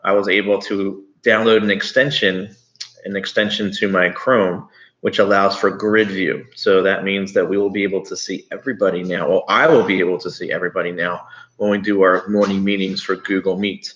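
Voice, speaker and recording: A man's voice: deep voice, fairly even intonation, brisk, fairly bright tone. A man teaching third graders online, giving informal, conversational instructions to his pupils. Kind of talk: informal online read-aloud and assignment talk to pupils. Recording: dull lo-fi sound.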